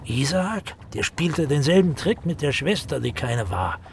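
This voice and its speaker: A hateful pamphleteer in a radio drama. His pitch is high and swings widely as he declaims with pedantic, obsequious venom. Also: very animated, a little slow, vocal fry, slight background noise, full character voice. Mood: venomous, declaiming.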